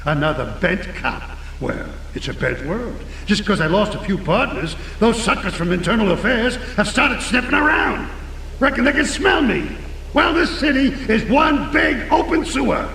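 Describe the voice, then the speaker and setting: An actor playing a crooked, cynical city policeman in a video game, sardonic and conspiratorial, with a gravelly voice. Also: high-pitched, fairly bright tone, full character voice. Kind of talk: video game cutscene acting. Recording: echoing, reverberant, distant, faint noise.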